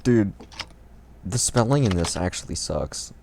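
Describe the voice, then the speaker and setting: A man's voice: deep voice, lively intonation, unhurried, vocal fry, darkish tone. A man reading fan fiction aloud in a casual comedic way, amused, swearing and breaking off to comment. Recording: noisy home recording with clicks and typing.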